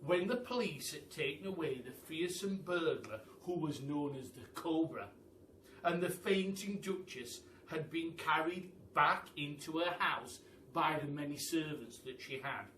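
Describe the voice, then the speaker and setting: High-voiced male storyteller, bright and chummy. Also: lively intonation, unhurried, a little hiss or hum. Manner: chummy, addresses listeners as guys. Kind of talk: theatrical children's story reading. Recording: echoey room, distant mic.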